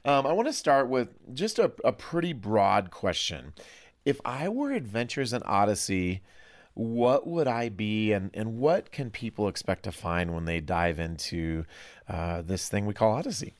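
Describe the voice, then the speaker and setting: A man with a fairly low voice hosts a panel discussion. He speaks conversationally, with a playful, teasing manner toward his guests. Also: animated, unhurried. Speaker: podcast host and interviewer.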